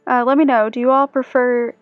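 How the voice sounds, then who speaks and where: A young woman chats through a shopping vlog, often turning to viewers with questions. Her voice sits a little high. It sounds dry and a bit dull, with faint music playing behind it. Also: steady tone, brisk, dry recording. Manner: chatty, asks viewers questions. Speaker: vlogger. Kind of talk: casual shop-with-me voice-over.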